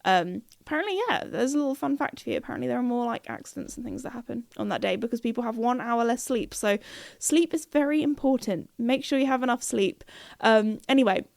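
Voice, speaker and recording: A young woman hosting a casual solo podcast, rambling between topics with lots of fillers, her voice slightly croaky from a cold. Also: on the high side, brisk, no room sound. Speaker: podcast host.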